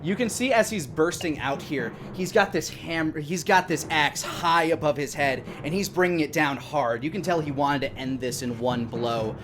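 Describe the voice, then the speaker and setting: A man in his thirties narrating a tabletop game as game master, descriptive, with hesitant pauses. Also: fairly high pitch, a bit bright, slight background noise. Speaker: game master and stream host. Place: indoor room at home, live stream. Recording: music playing behind the voice. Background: background music.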